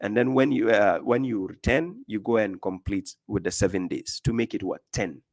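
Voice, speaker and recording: A man's voice: lowish voice, normal speed, darkish tone. A man from Ghana with a Ghanaian accent, giving informal religious teaching as a preacher, emphatic, repeating himself and often checking that listeners follow. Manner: emphatic, repeats phrases, often asks "do you get my point?". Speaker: Islamic preacher.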